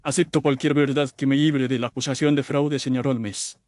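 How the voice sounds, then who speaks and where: A man's voice: mid-range voice, steady tone, normal speed, a little mellow, no room sound, lightly acted. Anxious young Victorian clerk, acted by a man. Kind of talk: Spanish audio drama mystery acting.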